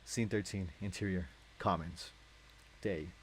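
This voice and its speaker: A man's voice: low-pitched, unhurried, a little hiss or hum. Young adult male narrator, casual script read-along, informal and self-interrupting.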